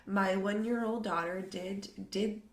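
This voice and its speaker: Slow, congested woman teaching yoga. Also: mid-range voice, fairly even intonation. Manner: halting, with many fillers and restarts. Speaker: yoga teacher hosting a live workshop. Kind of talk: live online workshop talk. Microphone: computer mic on a live stream. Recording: echoey room.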